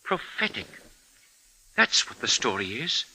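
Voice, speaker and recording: Earnest, piercingly bright male editor. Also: medium pitch, very animated, a little slow, slight background noise, heavily acted, rough recording. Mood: earnest, thoughtful. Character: earnest, deferential male magazine editor answering his boss. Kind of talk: 1950s radio drama acting.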